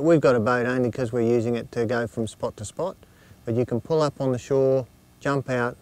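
A man's voice: mid-range voice, mellow. Easygoing Australian man explaining things plainly. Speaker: fishing instructor. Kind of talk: informal instructional talk. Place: outdoors on an open lake shore.

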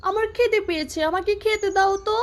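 Woman playing a high-pitched bratty young girl. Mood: petulant. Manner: snappy, scornful, fast. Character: rude, sharp-tongued bratty younger sister, a girl.

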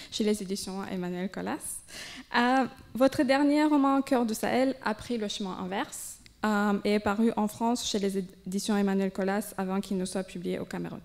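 A woman's voice: medium pitch, darkish tone. A young woman interviewer asks prepared questions, reading them from her notes. She has a few small hesitations along the way. She speaks into a handheld microphone in an indoor room. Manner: reads from notes, some hesitations. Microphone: handheld mic.

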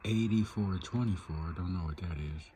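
A man's voice: deep voice, moderate pace, mellow, slight background noise. A man giving a casual, off-the-cuff tutorial, thinking aloud in an informal way as he demonstrates. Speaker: video creator demonstrating shortwave radio listening. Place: indoors at a laptop. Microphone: handheld phone behind the camera.

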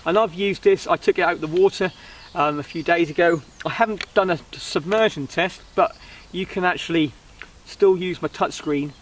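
A man's voice: on the high side, a bit bright. A man in his thirties giving a casual, informal gear review to camera, rambling a little and hesitant. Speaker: vlogger reviewing budget camping gear. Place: outdoors on a wooden riverside deck. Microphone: camera a couple of metres away. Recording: outdoor recording, distant mic, background noise.

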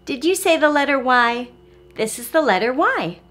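A woman's voice: high voice, expressive, normal speed, a bit bright. A woman in her forties teaching and reading to young children, encouraging and carefully enunciated. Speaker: early-learning teacher, host. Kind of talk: children's phonics lesson and read-aloud. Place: small furnished room at home, indoors.

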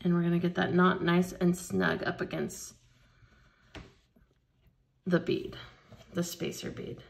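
A woman leading a hands-on beading tutorial at a craft table, explaining each step slowly and patiently. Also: lowish voice, little pitch movement, creaky. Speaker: craft instructor.

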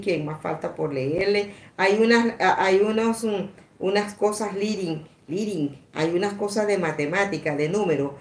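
Live-stream host: woman in her sixties, fairly low voice, halting chatty delivery, roomy sound. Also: darkish tone. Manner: rambling, repetitive, halting. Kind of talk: casual live-stream chat, reading out comments. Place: small indoor room at a table. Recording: echoey room, some background noise.

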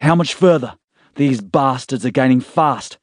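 A man voicing a rugged, warm-hearted male survivor in an audiobook. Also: medium pitch, measured pace, no room sound, clean studio sound, voice pushed up, lightly acted. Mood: urgent. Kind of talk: audiobook character dialogue.

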